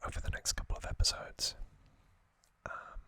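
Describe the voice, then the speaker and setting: A man hosting ASMR in a breathy whisper, gently recapping trivia in short, halting phrases. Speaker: ASMR host.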